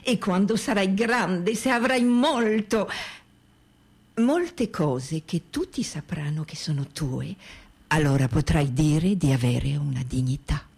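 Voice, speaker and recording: A woman's voice: deep voice, lively intonation, slow, vocal fry, darkish tone, husky, exaggerated voice. A woman playing a dogmatic teacher of an upside-down world in a didactic, absurd radio theatre monologue. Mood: dogmatic, instructing.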